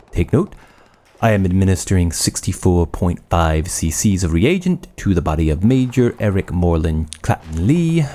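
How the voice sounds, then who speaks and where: A man plays a mad surgeon in a horror audio drama, commanding and obsessive. His low, mellow voice swings widely as he moves between clinical precision and morbid glee. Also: full character voice. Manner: clinical, commanding, gleefully fascinated. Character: cold, obsessive male mad scientist and army surgeon.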